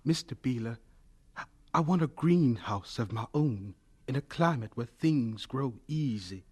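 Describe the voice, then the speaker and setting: An actor playing a gentle, earnest young homesteader with dreams, speaking reflectively in a folksy radio drama manner. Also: male voice, mid-range voice, animated, measured pace, breathy edge. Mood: wistful, earnest.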